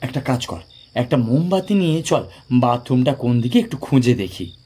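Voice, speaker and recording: Male actor for practical young friend in horror audio play; rough, breathy voice, lively intonation. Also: medium pitch, normal speed. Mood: brisk, dismissive. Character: young man, Raktim, blunt, practical friend on a night trip. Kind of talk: audio drama dialogue in a Bengali ghost story.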